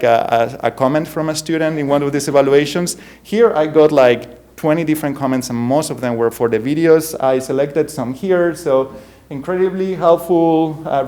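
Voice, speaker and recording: A young adult man from Spain with a strong Spanish accent, giving a conference keynote as a bioengineering professor, halting with many fillers, restarts and small self-deprecating laughs. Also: on the high side, mellow. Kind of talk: conference talk with slides.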